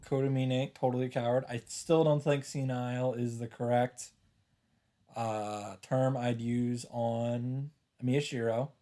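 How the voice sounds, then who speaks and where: A man's voice: mid-range voice, steady tone, a little slow, dark tone. A man streaming a visual novel, reading the narration aloud and adding casual asides of his own.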